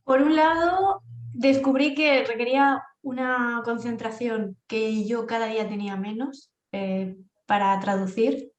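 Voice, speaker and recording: Higher-voiced Spanish woman in an echoey room. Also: steady tone, measured pace, muffled recording. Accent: Spanish from Spain. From Castilla-La Mancha, Spain. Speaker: interview guest, freelance project manager. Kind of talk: conversational interview answers. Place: indoors at home, echoey room.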